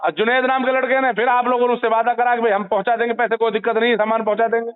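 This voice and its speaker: High-pitched man on a tinny phone line. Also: level tone, rapid-fire, clear, resonant tone. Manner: insistent, moralising, holds the floor. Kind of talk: confrontational phone conversation. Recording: narrow tinny phone call recording.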